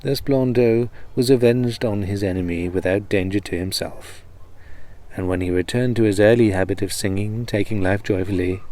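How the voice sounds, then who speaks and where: A man's voice: lowish voice, steady tone, normal speed, mellow. A man reading an old comic tale aloud as a storyteller.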